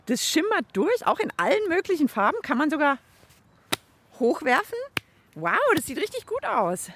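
Young woman, children's radio co-host, cheerful, thinks aloud, high voice, faint noise behind. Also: big pitch swings, moderate pace, a bit bright. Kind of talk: lively hands-on radio chat.

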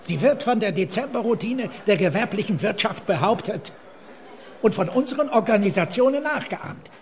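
An actor playing an earnest, declamatory East German communist functionary in a literary radio play, with a husky voice. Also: male voice, sits high, lively intonation, normal speed. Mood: earnest argument.

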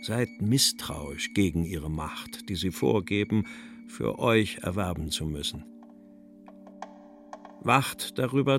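A serious writer guy, low voice, really slow and measured, bit of music. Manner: deliberate, measured, solemn. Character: male writer, sober, earnest, read from his letters and verses. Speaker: quotation reader. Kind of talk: literary recitation for a radio feature.

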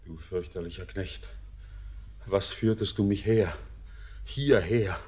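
Husky, haunted young miner, hushed and anguished, lowish voice. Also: male voice, slow, exaggerated voice. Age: young adult. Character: haunted young male miner, torn and feverish. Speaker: Elis, the miner. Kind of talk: classic radio play acting.

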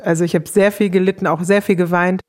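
A woman's voice: on the low side, fairly even intonation, quick pace, darkish tone. A woman recounting a personal experience in a casual, candid, self-reflective and colloquial way. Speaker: interviewed coaching client telling her experience.

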